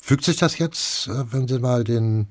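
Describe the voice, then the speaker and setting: A man's voice: medium pitch, unhurried, darkish tone. A man hosting a radio programme, presenting and interviewing in a studio. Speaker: radio host.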